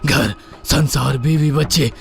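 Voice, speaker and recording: An actor playing a bitter, resentful, accusing man in a horror story, with a gravelly voice. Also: medium pitch, fairly even intonation, takes it slowly. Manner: accusing, dramatic. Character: bitter, resentful man confronting his dead lover's ghost. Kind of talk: horror audio story acting.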